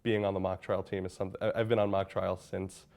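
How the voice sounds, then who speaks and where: A young man with a gravelly, low voice answers interview questions as a graduating college senior. He speaks earnestly, often restarting and filling pauses with "um". His tone is thoughtful and sincere. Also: little pitch movement, a little mellow. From United States. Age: young adult. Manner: earnest, hesitant, many restarts and fillers.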